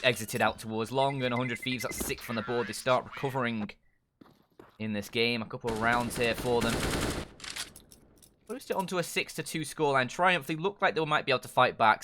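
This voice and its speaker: A man doing play-by-play commentary on an esports match, an in his speech, building to excited shouts on big plays. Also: medium pitch, a little fast, background noise. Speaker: esports caster.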